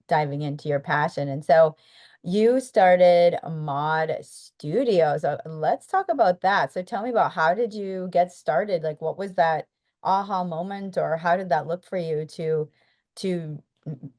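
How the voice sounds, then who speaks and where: Podcast host: American woman, voice a little on the low side, conversational interviewer. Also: measured pace, darkish tone. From North Dakota, American Midwest.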